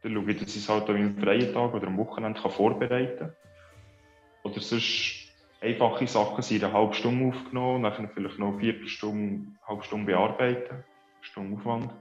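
A man's voice: fairly low pitch, steady tone, brisk. A man with a Swiss German accent, a guest in a casual interview who thinks aloud in a reflective, hesitant way. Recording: roomy, dull lo-fi, faint music.

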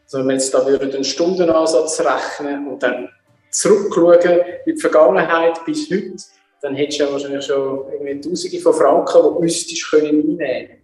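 A man's voice: a little high, steady tone, fairly quick, darkish tone, recorded from a distance. A man who hosts a casual interview and asks curious, probing questions. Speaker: host. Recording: roomy, faint music.

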